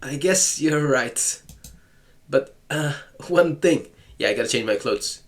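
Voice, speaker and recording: A man's voice: mid-range voice, expressive, slow. A man playing through a visual novel, reading lines aloud and tossing in sarcastic asides and jokes. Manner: sarcastic, joking asides to the game. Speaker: let's-play gamer. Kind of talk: casual game commentary.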